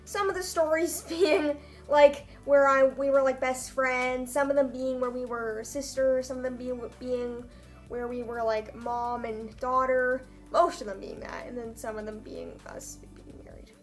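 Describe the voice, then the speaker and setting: A woman's voice: high voice, steady tone, a little slow, faint music behind. A young woman chatting casually in a comedic vlog, with wry, self-mocking asides. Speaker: vlogger. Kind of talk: casual comedic vlog chat, reading own old writing.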